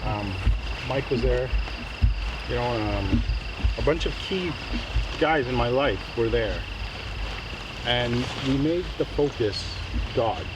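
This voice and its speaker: A man's voice: medium pitch, animated, slow, long pauses. Man sharing testimony, distant and very noisy. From Trinidad, moved to Canada as a child. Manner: conversational, often checks in with "right?" and "okay?". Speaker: speaker giving a personal testimony. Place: outdoors at a men's canoe trip gathering. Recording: distant mic, loud outdoor insect and ambient noise.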